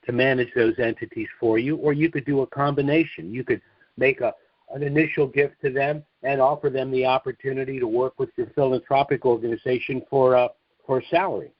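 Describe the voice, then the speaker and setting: Low-pitched man on a dull-sounding call. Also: steady tone, fairly quick, dry recording. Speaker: host of a conference call. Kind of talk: business conference call with Q and A.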